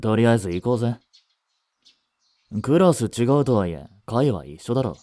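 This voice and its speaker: Man acting mellow, brusque teenage schoolboy. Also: medium pitch, big pitch swings, normal speed, dry recording, close to an everyday voice. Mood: casual, teasing. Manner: blunt, teasing, rough casual speech. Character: brusque teenage schoolboy, gruffly caring childhood friend. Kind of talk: Japanese romantic situation voice drama.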